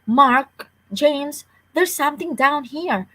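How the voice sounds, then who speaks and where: A woman's voice: high voice, takes it slowly, pushed and bright, pitched above the performer's own voice, exaggerated voice. A woman voicing a reassuring, practical father in a children's storybook, in an everyday reading voice. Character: calm, reassuring father coming to the rescue.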